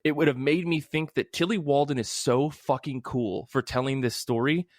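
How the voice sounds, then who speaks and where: A man hosts a casual hobby podcast in a medium-pitched voice. He talks effusively and with emphasis, dropping swear words freely. The sound is dry, with very little room on it. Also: normal speed, dry recording. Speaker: podcast host. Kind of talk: casual chat about comic books.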